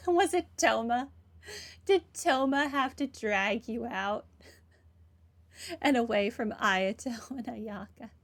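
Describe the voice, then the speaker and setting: A woman's voice: a little high, slow, pauses often. A woman giving casual, offhand commentary on a game playthrough, with wry asides. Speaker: gamer recording a playthrough.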